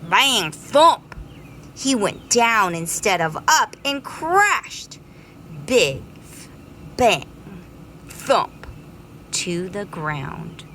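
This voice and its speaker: A woman's voice: medium pitch, pushed and bright, a little hiss or hum. A woman reading a children's story aloud expressively, drawing out words dramatically for young listeners.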